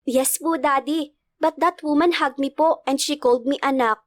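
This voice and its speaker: A woman's voice: high-pitched, steady tone, normal speed, close to an everyday voice. A woman voicing a polite but aloof little boy who is wary of strangers, in a storybook narration. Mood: relieved. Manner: snobbish, polite childlike speech.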